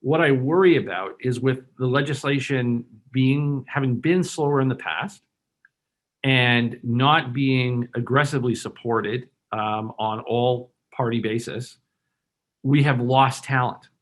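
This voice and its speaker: A man's voice: mid-range voice, steady tone, a little slow. Bank executive panelist, male, who grew up in Hong Kong: earnest, persuasive, stop-start delivery. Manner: earnest, persuasive, many restarts and fillers. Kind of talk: webinar panel discussion.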